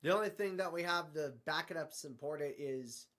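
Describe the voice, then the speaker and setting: A performer playing a hesitant, downcast explorer of alien universes, speaking haltingly in improvised dialogue and trailing off with stammers. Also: mid-range voice, fairly bright tone, close to an everyday voice. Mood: resigned. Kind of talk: improvised fictional dialogue between space explorers.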